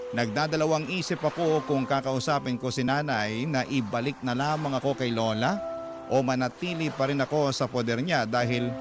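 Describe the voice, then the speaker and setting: A man with a Filipino accent narrating a dramatic first-person letter in a plaintive, sorrowful storytelling manner. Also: mid-range voice. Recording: music bed behind the voice. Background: background music.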